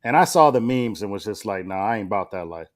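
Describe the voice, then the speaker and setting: A man co-hosting a podcast, talking in a laid-back, slangy way with lots of pauses. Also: fairly low pitch, lively intonation. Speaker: podcast co-host. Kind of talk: casual podcast discussion.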